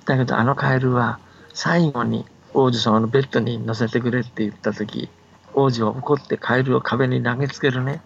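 Older man, very gravelly, explaining patiently. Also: mid-range voice, fairly even intonation, brisk. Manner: explains patiently, often seeking agreement. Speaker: folktale scholar and storyteller. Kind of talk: casual explanatory radio talk about folktales. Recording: dull band-limited audio, faint background noise.

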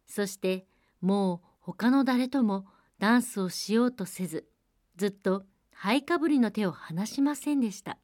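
A woman telling a fairy tale as a radio storyteller, speaking in a measured and polite way. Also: medium pitch, animated, a little mellow, no room sound, studio quality. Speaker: storyteller and programme co-host.

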